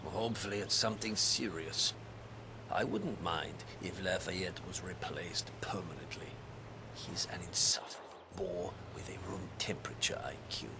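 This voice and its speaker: An actor playing a frail, haughty older retired spymaster in a game cutscene, gravelly and breathy, condescending and probing. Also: male voice, sits low, moderate pace, background noise. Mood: suspicious, dry. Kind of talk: video game cutscene acting.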